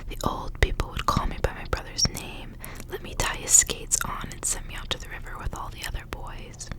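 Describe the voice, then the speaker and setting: A woman whispering in a breathy, unvoiced voice, reading stories aloud for ASMR in a casual, unhurried, informal way.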